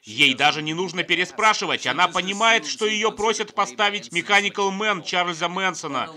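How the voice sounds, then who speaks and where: A man's voice: high-pitched, moderate pace, a crowd in the background. A man narrating scripted comedic film commentary in a sardonic, joking manner.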